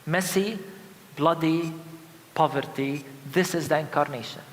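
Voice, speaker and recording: A man from Palestine preaching a sermon of lament as a pastor, solemn and emphatic, with deliberate repeated phrases. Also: fairly high pitch, slight background noise. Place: large indoor church. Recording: reverberant church hall sound.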